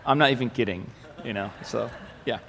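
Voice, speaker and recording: A young man from the Galilee whose first language is Arabic, presenting his ministry to a church audience in a relaxed, joking manner, with many fillers and restarts. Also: medium pitch, fairly quick, creaky. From Israel, Galilee. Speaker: ministry communications manager giving a presentation. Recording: echoey hall with faint noise.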